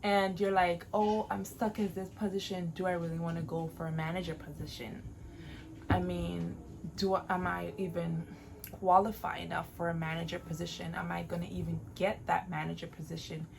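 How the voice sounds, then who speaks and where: A woman's voice: fairly low pitch, little pitch movement, normal speed, gravelly, a little hiss or hum, low-quality recording. A woman in her late twenties, originally from Jamaica, giving casual, encouraging advice in a vlog and repeating phrases for emphasis. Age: late 20s. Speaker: vlogger.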